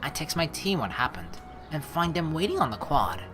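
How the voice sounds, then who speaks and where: Animated male let's play host. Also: fairly high pitch, normal speed. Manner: casual, slangy. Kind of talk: game commentary, reading story text aloud. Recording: game music underneath. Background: game music.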